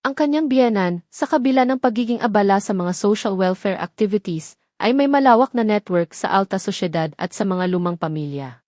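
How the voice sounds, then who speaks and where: A woman's voice: mid-range voice, animated, brisk, darkish tone, dry recording. Filipino woman narrating a drama, dry close sound. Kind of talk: scripted dramatic story narration.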